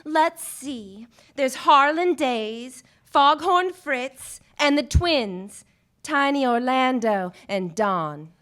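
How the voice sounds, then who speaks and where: A woman acts out an ingenuous young dancer in a 1940s radio drama. She speaks in a high voice, earnest and naive, as a worried client would. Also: expressive, drawn-out words, fairly bright tone, smooth, full character voice. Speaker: actor playing the client. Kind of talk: 1940s radio play acting. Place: theatre stage before a studio audience.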